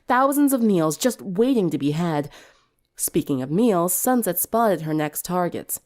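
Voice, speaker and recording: A woman narrates a story dramatically as a fan fiction reader. Her voice sits a little low for a woman and moves through a lively, wide range.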